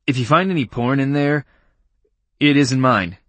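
A goofy, guilty-sounding slacker performed by a man in an audiobook. He talks in exaggerated dude slang, pitched higher than the narration. The recording is close and dry. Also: a little slow, no echo, lightly acted. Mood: feigned guilt.

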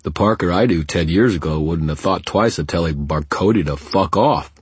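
A man's voice: low voice, moderate pace, husky, dry recording. A man voicing a brutish, hot-headed mobster thug in an audiobook, with a harsh, sneering, belligerent delivery. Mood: scornful challenge. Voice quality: harsh, not nasal.

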